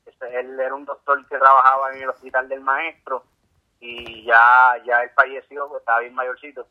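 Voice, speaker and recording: A man from Puerto Rico with a Puerto Rican accent, a guest telling his story calmly, with many fillers. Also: medium pitch, moderate pace. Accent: Puerto Rican Spanish. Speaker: guest, ultramarathon swimmer. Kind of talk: casual interview. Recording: tinny phone-like call audio.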